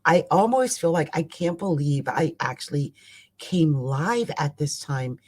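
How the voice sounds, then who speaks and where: A woman with a raspy voice chatting casually as the host of a live astrology stream, rambling and conversational with emphatic asides. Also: deep voice, expressive, measured pace, a little mellow.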